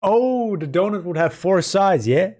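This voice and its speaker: A man streaming and chatting casually with his viewers in a rambling, playful, mock-dramatic way, his voice hoarse from a sore throat. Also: high-pitched, animated, a little slow, a little mellow. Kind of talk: casual livestream chat with viewers.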